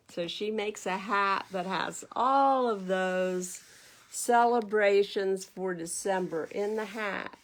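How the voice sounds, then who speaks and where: A woman with an American accent hosting a storytime for young children, reading a picture book aloud and putting questions to her listeners in a teacherly way. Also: mid-range voice. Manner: animated teacherly asides, asking the listeners questions.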